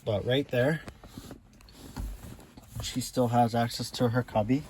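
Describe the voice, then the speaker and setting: An American man giving a casual, unscripted walkthrough in a low-key, halting way, as if thinking aloud. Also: fairly even intonation, darkish tone, slight background noise, low-quality recording. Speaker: hobbyist showing a CB radio install. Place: inside a parked car.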